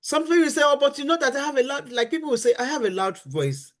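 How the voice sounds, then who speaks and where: A middle-aged woman with a fairly high voice teaches about marriage on a faith-based talk show. She is earnest and emphatic, circling back to her main points. She acts out the complaints of husbands and wives as she goes. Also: sing-song, fast. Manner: earnest and emphatic, repeating key phrases and acting out spouses' complaints.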